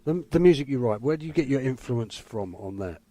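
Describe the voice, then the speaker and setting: A man with a deep baritone voice, casually asking interview questions at a studio microphone. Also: animated, brisk, mellow. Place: radio studio.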